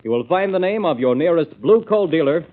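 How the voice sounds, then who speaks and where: A man's voice: a little high, animated, brisk. A male radio announcer reading an advertisement in a polished, emphatic, folksy old-time style. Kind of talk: vintage radio advertising read. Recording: muffled old radio recording.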